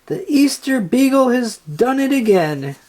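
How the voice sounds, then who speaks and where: Narrator acting out earnest little storybook boy, high voice, urgent, pleading, excitable. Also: a little slow, natural voice, rough recording. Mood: amazed.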